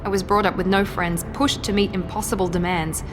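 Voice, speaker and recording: A woman's voice: medium pitch, fairly even intonation, a little fast, lightly acted. A woman playing a poised, guarded operative in a video game, serious and controlled, with clipped delivery. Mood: confiding, pained. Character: poised, guarded woman operative protecting her younger sister. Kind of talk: video game dramatic acting. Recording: game audio with music behind.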